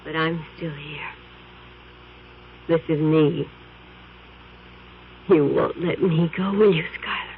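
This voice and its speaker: A woman's voice: sits low, slow, long pauses, slight background noise. An actress playing a seductive, scheming witch in a 1970s radio drama, purring and teasing with menace. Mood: mocking. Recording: dull 1970s radio broadcast.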